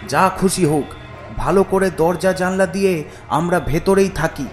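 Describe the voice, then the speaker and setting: A man's voice: sits high, normal speed, faint music behind, natural voice. A man voicing an anxious, timid village father in a horror cartoon, with a worried, pleading and cautious manner. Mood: frightened. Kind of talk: animated horror cartoon acting.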